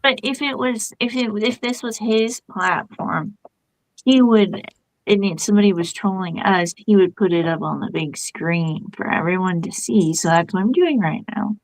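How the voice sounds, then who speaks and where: A woman's voice: mid-range voice, moderate pace, no echo, low-quality recording. A woman hosting a casual livestream chat, blunt and outspoken, who swears casually. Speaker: livestream host.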